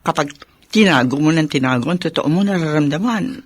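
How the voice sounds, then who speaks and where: A woman's voice: sits low, fairly quick, husky. A woman playing a caring, frank older sister in a radio drama, consoling and emphatic. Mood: firm consoling.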